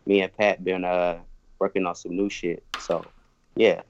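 A man's voice: deep voice, level tone, measured pace, slight background noise. Tipsy man rambling on a podcast. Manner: loose, rambling, stumbling over words. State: tipsy from drinking. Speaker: guest musician. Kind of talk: casual podcast chat. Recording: dry, dull lo-fi sound.

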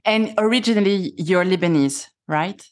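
Low-voiced woman with French accent. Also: normal speed, a little mellow. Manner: friendly, hesitant, many ums and rephrasings. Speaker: podcast host. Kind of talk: interview conversation.

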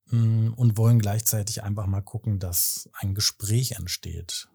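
A man in his thirties from Berlin, co-hosting a podcast and explaining things in a measured, slightly formal way. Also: lowish voice, fairly even intonation, gravelly, warm tone, slightly rough. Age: 30s. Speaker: podcast co-host. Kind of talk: casual podcast talk.

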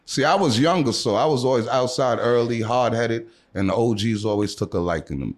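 A man's voice: mid-range voice, fairly quick. A man in his forties from Trinidad with a New York accent, talking casually as an interview guest with a lot of street slang and easygoing "yeah, man" fillers.

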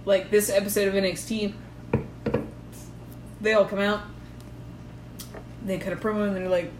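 A woman's voice: mid-range voice, little pitch movement, normal speed. A woman co-hosting a podcast, chatting in a rambling, giggly way with plenty of fillers. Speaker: podcast co-host. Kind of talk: casual chat about wrestling. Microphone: shared recorder. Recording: echoey room with background noise.

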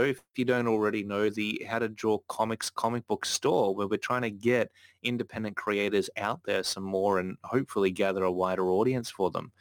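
A man's voice: lowish voice, dry recording. A man hosting a casual podcast, talking earnestly with frequent self-corrections. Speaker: podcast host.

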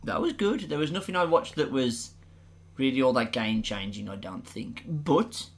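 A man's voice: medium pitch, animated, normal speed, husky. A man co-hosting a casual film podcast, joking playfully and often trailing off mid-thought. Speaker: podcast co-host.

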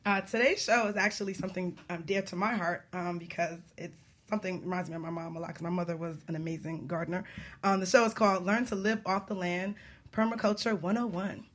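A woman's voice: on the low side, fairly even intonation, quick pace. A woman hosting a radio talk show in a chatty, informal, upbeat way.

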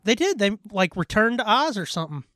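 Very lively, foul-mouthed podcast co-host. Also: medium pitch, brisk, dry recording, clean studio sound. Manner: foul-mouthed, slangy, combative joking. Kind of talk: casual banter about movies.

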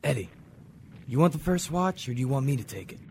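A man's voice: medium pitch, very animated, a little fast, darkish tone. A man playing a weary, troubled young Marine foxhole buddy in a war radio drama. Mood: weary, agreeable. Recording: battle ambience and faint noise.

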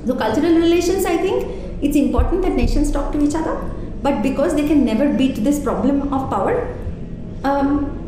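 A woman from India, speaking English as a second language, giving an animated interview with emphatic restarts. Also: high-pitched, moderate pace, heavy reverb, background noise. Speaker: interview guest, arts and culture figure. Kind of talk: conversational interview.

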